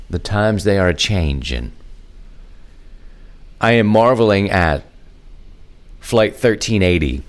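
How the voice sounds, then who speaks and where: A man hosting a podcast, talking casually and conversationally in halting phrases with pauses. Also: low-pitched. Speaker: podcast host. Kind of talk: casual solo podcast talk.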